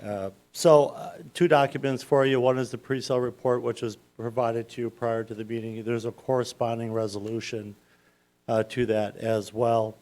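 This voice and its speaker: A man with a loud voice, working as a municipal finance advisor, walks a city council through a bond presentation step by step in a council chamber. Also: mid-range voice, level tone, normal speed.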